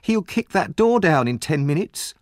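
A man's voice: a little high, very animated, moderate pace, no room sound, clean studio sound, pitched above the performer's own voice. A man voicing an indignant, self-pitying married woman with a dramatic manner in a storybook. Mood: alarmed. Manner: dramatic, aggrieved. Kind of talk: storybook narration character.

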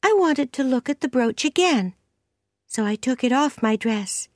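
Lady doing a little storybook girl, high and lively, eager n earnest, always pleading. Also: unhurried, no echo, clean studio sound. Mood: confessing. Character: eager, pleading, imaginative young orphan girl. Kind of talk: storybook character voice.

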